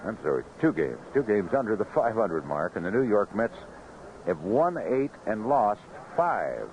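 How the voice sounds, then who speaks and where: An American man giving a seventies baseball radio report in a measured, conversational way. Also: on the low side, very animated, darkish tone. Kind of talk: 1970s radio scoreboard report and sponsor reads. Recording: dull, faintly noisy 1970s AM radio.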